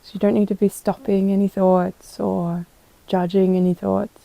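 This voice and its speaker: A woman guiding a meditation as a yoga teacher, calm and gentle, giving unhurried instructions with pauses. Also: mid-range voice, creaky, no room sound, poor audio. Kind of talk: guided meditation.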